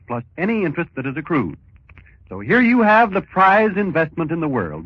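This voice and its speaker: High-pitched American 1940s radio announcer man. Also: breathy edge, dry recording. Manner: emphatic, formal delivery. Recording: muffled 1940s radio recording.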